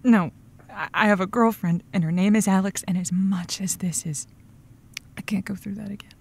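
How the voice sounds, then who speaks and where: A woman's voice: medium pitch, fairly even intonation, normal speed, gravelly, a little hiss or hum, natural voice. An actress playing a blunt, guarded woman who hosts a radio advice show, in a dry audio drama delivery. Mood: torn, firm. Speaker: radio show host character.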